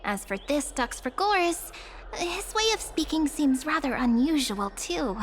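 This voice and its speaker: An actress playing a friendly, curious young adventurer companion in a video game, gentle and thoughtful in her delivery. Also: sits high, very animated, unhurried, a little hiss or hum. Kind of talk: video game character acting.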